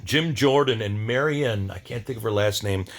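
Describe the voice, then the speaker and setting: A man's voice: on the low side, normal speed. Casual enthusiastic man rambling informally. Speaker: video diary host. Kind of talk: casual rambling monologue reviewing favorites.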